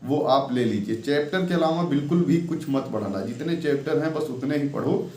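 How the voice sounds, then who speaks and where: A man's voice: fairly high pitch, normal speed, dark tone. Man giving exam advice in echoey room. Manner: repetitive, instructional, frequently checks in with a tag word. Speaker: exam coaching tutor and channel host. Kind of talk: informal exam-preparation advice talk. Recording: echoey room with faint background noise.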